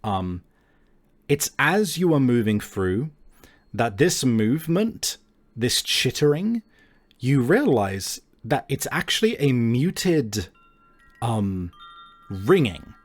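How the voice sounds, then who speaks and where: Slow-talking man narrating a game. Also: mid-range voice, big pitch swings. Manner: halting, searching for words. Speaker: game master. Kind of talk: improvised tabletop game narration.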